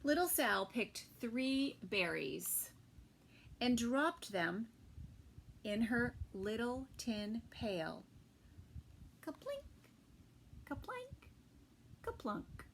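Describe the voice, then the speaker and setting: A woman teacher reading a picture book aloud to small children, gentle and unhurried, with soft whispered asides. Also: on the high side, sing-song, gravelly, a little hiss or hum. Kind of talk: children's story time read-aloud.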